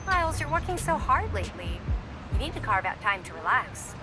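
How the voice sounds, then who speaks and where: A woman's voice: on the high side, sing-song, brisk, over music. A caring, fretful mother. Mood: concerned. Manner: warm, gently nagging. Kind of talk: game cutscene acting.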